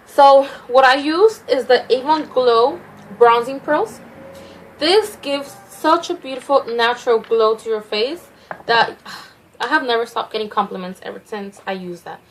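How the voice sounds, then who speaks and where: A woman's voice: on the high side, measured pace, a little hiss or hum, rough recording. A young woman from Mexico with a Mexican accent, chatting through a makeup tutorial in a rambling, self-conscious way with many restarts. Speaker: makeup vlogger.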